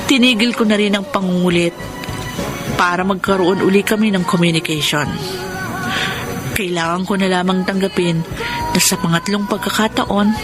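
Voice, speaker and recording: A woman's voice: mid-range voice, natural voice. Heartbroken young Filipino woman, played by an actress, music behind. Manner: sorrowful, earnest, dramatic pauses. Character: heartbroken young woman, ashamed of her past. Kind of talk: Filipino radio drama narration and dialogue. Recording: music bed under the voice.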